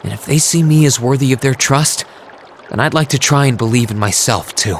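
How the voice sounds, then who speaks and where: An actor voicing an earnest, self-doubting teenage student in a video game, speaking sincerely and reflectively. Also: male voice, medium pitch, a little fast, slight background noise, lightly acted. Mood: resolved, hopeful. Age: young adult. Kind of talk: video game cutscene voice acting. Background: trickling water ambience.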